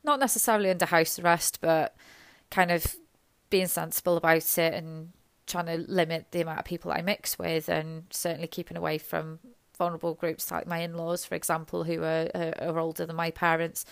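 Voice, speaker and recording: A woman, a nurse, talking in a halting, rambling, self-deprecating way, her voice hoarse and gravelly from illness. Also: low voice, flat delivery, no room sound. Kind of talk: reflective personal monologue.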